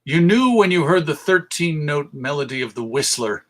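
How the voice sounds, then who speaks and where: A man's voice: fairly high pitch, animated, moderate pace. A man presenting an informal history talk as host, enthusiastic and emphatic as he lists examples. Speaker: presenter, radio show host.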